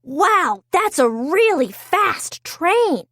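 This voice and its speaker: Cartoon kid played by a woman: excitable small boy, high voice, big swooping intonation. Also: very slow, no echo, studio quality, exaggerated voice. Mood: amazed.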